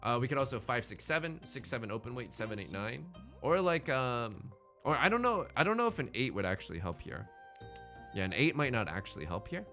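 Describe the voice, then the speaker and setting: A man's voice: medium pitch, big pitch swings, a little fast, sharp tone, soft background music. A man hosting a gaming let's play in casual, bantering commentary, thinking aloud and often repeating his phrases.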